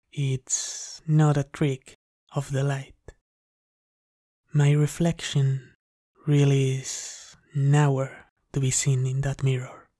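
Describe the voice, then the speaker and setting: Man acting as a very slow, hushed, courteous vampire. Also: medium pitch, no echo, studio quality. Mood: admiring, secretive. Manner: hushed, halting, deliberately polite. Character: soft-spoken obsessive male vampire lab technician, courteous, menacing. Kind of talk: slow intimate ASMR roleplay.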